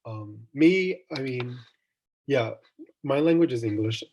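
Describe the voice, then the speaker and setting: A man's voice: mid-range voice, animated, slow pace, darkish tone, slight background noise. A young man from Jamaica, hosting a casual online student discussion, thoughtful and meandering with frequent ums and restarts. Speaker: student event host.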